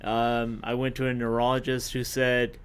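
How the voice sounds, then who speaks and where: A man of fifty, a podcast guest, telling his own story in a casual, halting way with many fillers and self-corrections. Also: medium pitch, monotone, smooth. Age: 50s.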